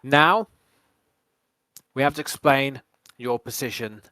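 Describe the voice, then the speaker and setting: A man hosting a quiz show with measured, formal delivery that sometimes restarts its phrases. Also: mid-range voice, sharp tone, smooth, no echo, studio quality.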